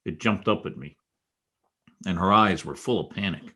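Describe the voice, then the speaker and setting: A man's voice: low-pitched, moderate pace, bright, forceful tone, a little hiss or hum. An American man playing a tough, wry private detective in a 1940s radio noir style, clipped and slangy. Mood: dry, grim. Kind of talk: 1940s radio noir acting with first-person narration.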